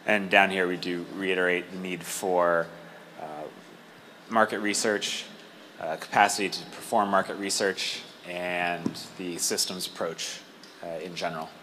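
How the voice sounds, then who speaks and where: A man's voice: low voice, fairly even intonation, a little slow, sharp tone, a little hiss or hum, poor audio. A man giving an impromptu readout of his group's points at a roundtable event.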